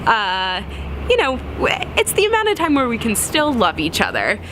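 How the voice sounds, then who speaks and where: A woman's voice: a little high, wildly swooping pitch, normal speed, noisy background. A young woman from Connecticut telling a personal story in a reflective way, with many fillers and restarts. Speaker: interviewee.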